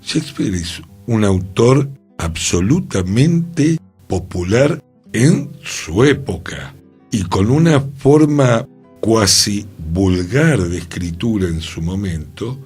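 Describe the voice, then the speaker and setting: Slow, husky Rioplatense radio man. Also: on the low side, animated, darkish tone, soft background music. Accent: Rioplatense Spanish. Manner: deliberate, measured, repeats words for emphasis. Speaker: radio show co-host. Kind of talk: cultural radio discussion.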